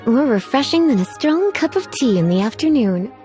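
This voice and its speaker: A woman's voice: high voice, very animated, moderate pace, mellow, faint music behind. A woman playing a coy, languid adult female librarian in an anime game, teasing and sweetly menacing as she drawls.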